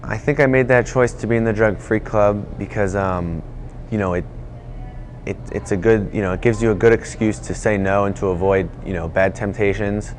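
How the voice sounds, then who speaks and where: Male high school senior, low-side voice, casual interview, slight background noise. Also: steady tone, normal speed. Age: late teens.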